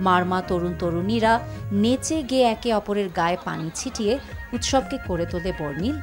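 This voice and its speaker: A woman with a Bangladeshi accent narrates a scripted TV news report. Her pitch is average for a woman, and the music behind her is loud. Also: normal speed.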